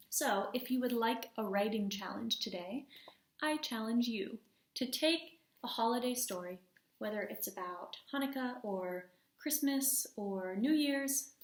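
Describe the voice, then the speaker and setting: Woman reading aloud in an echoey room. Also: on the high side. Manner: expressive, addresses children with questions. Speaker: teacher reading aloud to children. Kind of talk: storybook read-aloud with class discussion.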